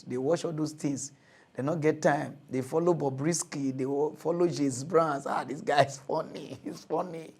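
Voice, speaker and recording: A young Nigerian man hosting a mock news comedy show, ranting in a mocking, animated way and laughing often. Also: on the high side, creaky, breathy edge. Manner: animated, mocking, laughs often, switches to loud excited outbursts. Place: studio with green screen.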